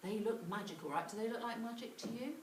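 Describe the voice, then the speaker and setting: A woman's voice: a little high, fast. A woman telling a children's story interactively and dramatically, recorded at a distance in a roomy space with faint noise. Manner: dramatic, with repetition and questions to listeners.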